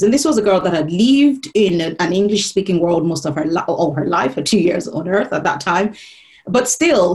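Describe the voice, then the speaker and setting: A woman from Nigeria whose first language is Yoruba, an author explaining her work in a thoughtful, pausing way. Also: mid-range voice, a little mellow. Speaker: author being interviewed. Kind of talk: reflective conversation.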